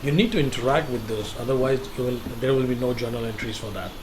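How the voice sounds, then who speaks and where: A man's voice: medium pitch, fast talker, noisy background. A man giving casual, explanatory commentary during a game walkthrough, with loose grammar. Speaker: gameplay commentator.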